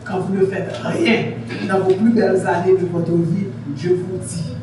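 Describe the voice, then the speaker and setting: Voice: medium pitch, steady tone, unhurried. Preacher in an echoing church. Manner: emphatic, repeats points, asks the congregation questions. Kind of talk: sermon to a congregation. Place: church hall in Haiti. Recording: very echoey, distant, noisy church recording.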